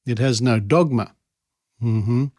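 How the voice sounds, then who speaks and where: An Australian man presents a podcast at a medium pitch, recorded close and dry. He talks in a conversational, wry and musing way, pausing deliberately to stress a point. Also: a little mellow, no echo. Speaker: podcast host. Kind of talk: conversational podcast monologue with read-out passages.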